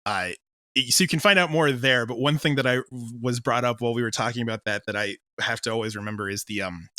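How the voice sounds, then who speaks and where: A man's voice: medium pitch, fast, sharp tone, no room sound, clean studio sound. A man chatting casually as an interview guest, telling stories in a self-deprecating way with quoted asides. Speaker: audio drama creator, interview guest.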